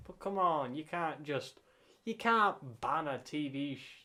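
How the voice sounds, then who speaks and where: Slow-talking man giving casual reactions. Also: mid-range voice, bright, forceful tone. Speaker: reactor and channel host. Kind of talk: casual off-the-cuff reaction commentary.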